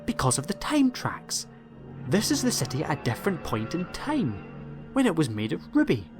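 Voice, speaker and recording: A man voicing a bright, curious young granddaughter in an audiobook, eager and given to excited exclaiming. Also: on the high side, moderate pace, pitched above the performer's own voice. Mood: thoughtful. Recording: music behind the voice.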